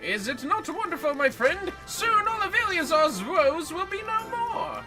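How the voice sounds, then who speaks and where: A streamer voicing a pompous, exuberant gentleman inspector in a theatrical, grandiose manner for a video game, played as a man. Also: very high voice, big pitch swings, measured pace, voice pushed up, full character voice. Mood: grandly triumphant. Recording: music behind the voice.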